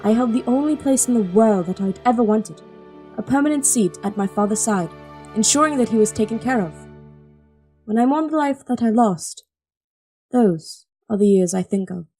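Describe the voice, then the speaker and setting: A woman as an earnest, high-voiced young princess. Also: animated, normal speed, dark tone, soft background music. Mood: wistful, reflective. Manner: earnest, articulate. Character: earnest, devoted young princess, seventeen, daughter of king. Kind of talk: audio drama acting with first-person narration.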